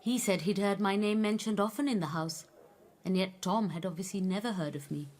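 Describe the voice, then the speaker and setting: Actress as sensible worldly female friend, medium pitch, frank, probing, gently scolding, radio drama. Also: a little fast, close to an everyday voice. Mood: conversational, curious. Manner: questioning, frank, admonishing.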